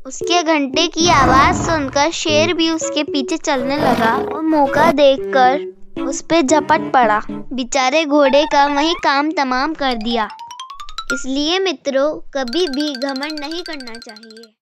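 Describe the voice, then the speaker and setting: A woman's voice: high voice, takes it slowly. A woman storyteller narrating a scripted moral tale. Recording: music behind the voice.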